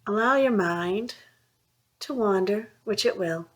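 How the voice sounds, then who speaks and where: A woman leading a guided mindfulness meditation, speaking in a soothing, unhurried way with long pauses between phrases. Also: medium pitch, a bit bright. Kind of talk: guided meditation, gentle instruction.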